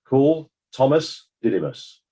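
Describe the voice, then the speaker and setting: A man playing a formal inquest examiner who questions witnesses in a measured way in a courtroom drama. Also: medium pitch, animated. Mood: formal, probing.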